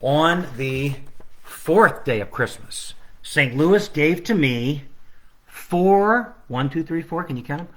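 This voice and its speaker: Bright, chatty man reading a storybook. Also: a little high, expressive, slow pace. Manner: chatty, rambling, playful asides to audience. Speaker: children's author reading own book aloud. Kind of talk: casual livestream storytime read-aloud. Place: at home, living room.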